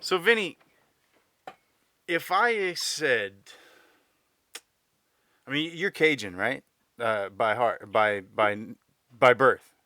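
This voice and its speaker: A man's voice: mid-range voice, slow, long pauses, bright, forceful tone, no room sound. A man hosting a podcast, chatting easily and agreeably with his guest. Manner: easygoing, agreeable, frequent backchannel. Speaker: podcast host. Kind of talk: casual interview chat.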